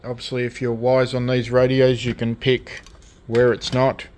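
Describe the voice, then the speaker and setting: An Australian man giving a casual hands-on show-and-tell as a hobbyist restorer, speaking in halting, piecemeal phrases. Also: mid-range voice, monotone, darkish tone. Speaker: radio restorer presenting own collection. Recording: clear background noise, hobby video.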